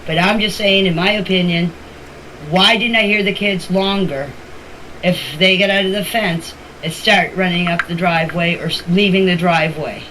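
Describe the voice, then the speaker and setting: A woman's voice: fairly low pitch, steady tone, moderate pace. An older woman talking informally in voice messages to a group chat, emphatic, opinionated and repeating herself. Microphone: phone voice message. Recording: noisy amateur voice message recording.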